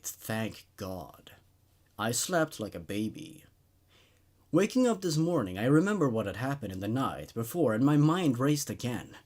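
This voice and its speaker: A man's voice: medium pitch, darkish tone. A man hosting a horror story show, reading stories aloud and giving his thoughts on them. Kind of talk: dramatic story narration and laid-back commentary.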